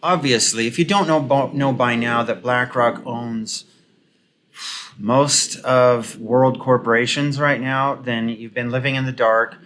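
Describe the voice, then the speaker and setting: A man's voice: mid-range voice, steady tone, a bit bright, a little hiss or hum. Opinionated man rambling through political commentary. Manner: opinionated, halting, rambling asides. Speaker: host of a political commentary video. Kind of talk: casual off-the-cuff commentary on news and online comments.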